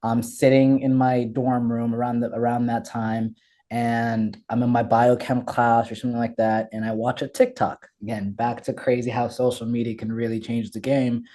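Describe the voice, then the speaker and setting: A young man from Ethiopia, a guest telling his story in a candid, energetic way with frequent fillers and restarts. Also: medium pitch, normal speed. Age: young adult. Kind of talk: casual interview storytelling.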